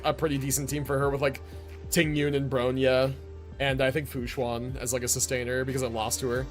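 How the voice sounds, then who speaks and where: A man livestreaming a video game, chatting casually and reacting in slangy, mildly sweary language. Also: mid-range voice. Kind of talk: casual livestream chat with gaming commentary. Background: video game music and dialogue.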